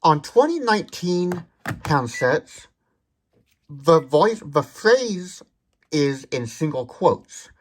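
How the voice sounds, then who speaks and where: Animated man demonstrating products. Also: a little high, slow pace, slight background noise. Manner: methodical, detail-focused explaining. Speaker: tech demonstrator, video host.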